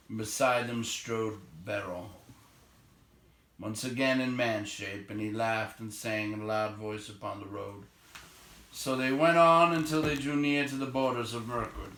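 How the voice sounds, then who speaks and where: A man's voice: on the low side, fairly bright tone, echoey room. A man narrating, reading a storybook aloud.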